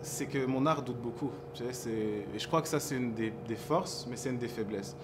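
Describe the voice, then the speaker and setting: A man's voice: medium pitch, normal speed, background noise. A man from Montreal, talking in a casual interview and searching for words as he reflects. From Montreal, Quebec. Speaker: hip-hop artist guest. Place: café.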